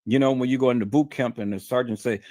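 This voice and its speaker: A man's voice: medium pitch, steady tone, quick pace, a little mellow, no room sound. A man hosting a livestream, talking in a stern, emphatic, lecturing way to his viewers. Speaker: livestream host. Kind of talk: unscripted livestream monologue.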